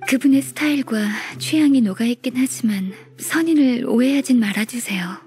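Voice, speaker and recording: A woman voices a young half-immortal maiden in a fantasy game. Her voice is soft and a bit high, with a gentle, earnest and formal manner tinged with melancholy. Faint music sits behind her. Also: moderate pace, natural voice. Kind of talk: video game voice acting.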